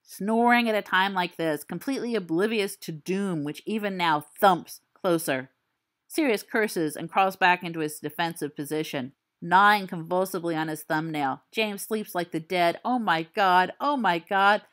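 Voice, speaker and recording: A woman reading a fan-written story aloud, with expressive, suspenseful storytelling. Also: lowish voice, moderate pace. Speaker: audiobook reader.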